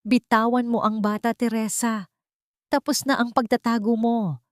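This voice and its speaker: A woman's voice: fairly high pitch, level tone, moderate pace, no room sound, studio quality, pitched above the performer's own voice, close to an everyday voice. A woman voicing a fierce woman caretaker who gives cold, authoritative orders. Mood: defiant.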